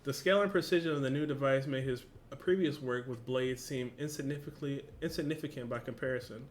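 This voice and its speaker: Casual slangy man, noisy recording. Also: medium pitch. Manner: informal, slangy, swearing, exclamatory asides. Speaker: reaction video host. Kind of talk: reading a story aloud with casual reactions. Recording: clear background noise.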